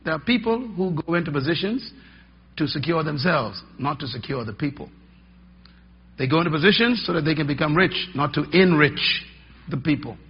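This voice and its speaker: A middle-aged man preaching a teaching sermon, emphatic and folksy, swinging between loud stresses and quiet drops. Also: a little high, animated, moderate pace, breathy edge. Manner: emphatic, rising and dropping for effect, folksy asides. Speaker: pastor. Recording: dull lo-fi sermon recording.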